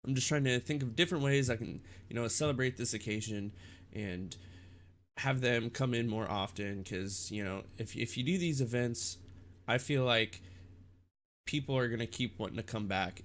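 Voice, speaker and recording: A young man with a congested, stuffed-up nose, rambling in a halting casual vlog about his streaming. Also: on the low side, a little hiss or hum. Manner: rambling, halting with many restarts and fillers. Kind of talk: casual vlog talk to camera.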